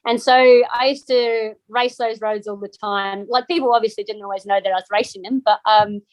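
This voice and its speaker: A woman's voice: fairly high pitch, steady tone, moderate pace, dry recording, poor audio. A middle-aged woman from New Zealand with a Kiwi accent, chatting casually as an interview guest, full of ums and restarts.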